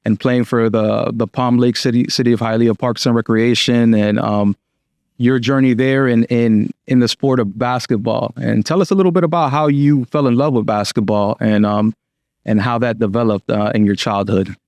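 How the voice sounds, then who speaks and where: A man's voice: mid-range voice, steady tone, moderate pace, darkish tone, no echo. A man hosting a podcast, asking long, rambling questions full of fillers. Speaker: podcast host. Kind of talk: casual podcast interviewing.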